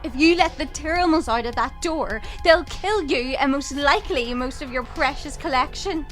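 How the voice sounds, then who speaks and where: A woman's voice: high voice, moderate pace, fairly bright tone, faint music behind. A woman playing a blunt, defiant young student in an audio drama, speaking in a challenging way.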